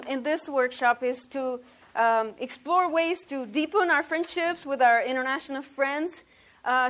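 A woman's voice: sits high, fairly bright tone. A woman in her thirties from Romania, leading a church workshop in an outgoing, chatty way with many ums. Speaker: workshop leader. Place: workshop room, indoors.

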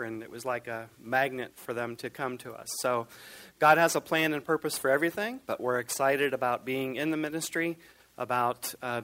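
An American man on campus ministry staff, introducing himself on a panel in a steady, matter-of-fact way with light fillers. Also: mid-range voice. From United States.

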